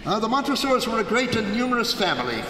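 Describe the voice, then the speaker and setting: A man's voice: high-pitched, sing-song, pushed and bright, heavily acted. Scheming, theatrical gothic nobleman, swooping delivery. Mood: smooth, guarded. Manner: theatrical, smoothly polite, deliberate phrasing. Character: scheming nobleman, falsely courteous host and sly avenger, narrating. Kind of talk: live gothic radio theater acting with narration. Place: large hall before a live audience. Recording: echoey hall, live stage, noisy background.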